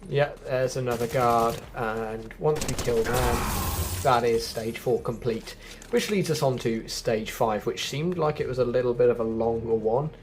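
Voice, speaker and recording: A man's voice: medium pitch, measured pace. A man giving a casual, chatty gameplay walkthrough, explaining things directly to viewers as a video host.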